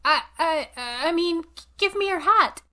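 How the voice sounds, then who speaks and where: A woman's voice: very high-pitched, lively intonation, measured pace, pushed and bright, exaggerated voice. A woman playing an eager, fawning housemaid in an old-time radio comedy, perky and gushing.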